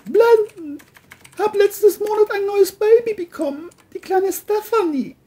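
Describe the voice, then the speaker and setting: A woman's voice: extremely high pitch, measured pace, mellow, pitched above the performer's own voice. A woman voicing an intense, volatile, seductive and menacing woman in a crime audio play. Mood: smug.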